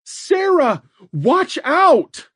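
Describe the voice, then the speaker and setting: Guy doing a hoarse panicky mom yelling, pitched way up, dry sound. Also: big pitch swings, slow pace, dry recording, full character voice. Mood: frantic. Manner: shouting, frantic. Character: desperate young mother, woman.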